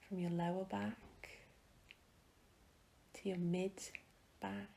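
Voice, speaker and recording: A woman leading a guided meditation in a soft, breathy, soothing manner with gentle pauses. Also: mid-range voice, flat delivery, a little hiss or hum.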